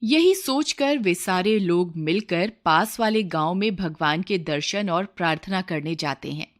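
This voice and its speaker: A woman's voice: fairly low pitch, lively intonation, measured pace. A woman storyteller reading a scripted moral tale as narration.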